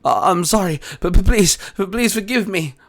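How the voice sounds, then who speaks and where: A man's voice: high voice, lively intonation, normal speed, a bit bright, pitched above the performer's own voice. A man voicing a frightened male crook in an audio story, stammering and begging for mercy. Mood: terrified pleading. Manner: stammering, pleading.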